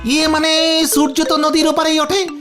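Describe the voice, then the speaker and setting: A woman's voice: high-pitched, little pitch movement, normal speed, over music, natural voice. A woman voicing a practical, doubting young village lad in a cartoon, explaining things hesitantly. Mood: unsure. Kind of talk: cartoon acting.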